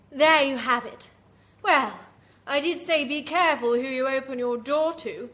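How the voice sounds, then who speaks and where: High-pitched wicked horror hostess. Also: female voice, fairly bright tone, a little hiss or hum, exaggerated voice. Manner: theatrical, arch, confiding. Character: vain, wicked female horror hostess, gleefully macabre. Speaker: host of horror anthology. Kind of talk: live horror radio storytelling.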